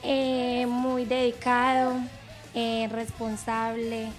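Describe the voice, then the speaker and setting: A young woman talking into a handheld mic from a sofa at home. Her voice is fairly high and brisk as she praises her husband, and clear music plays under her. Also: completely flat delivery. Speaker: footballer's wife. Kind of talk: interview answers. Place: living room, on a sofa indoors.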